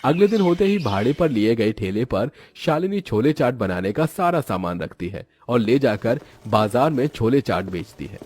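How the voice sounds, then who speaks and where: Husky male storyteller with expressive pitch. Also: moderate pace, few pauses. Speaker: story narrator. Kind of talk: scripted Hindi children's story narration.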